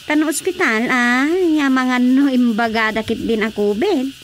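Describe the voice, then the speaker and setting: A woman's voice: high-pitched, measured pace. A woman with an Ilocano accent playing a talkative, concerned village neighbour in a radio drama comedy. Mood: opinionated. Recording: faintly noisy radio drama track.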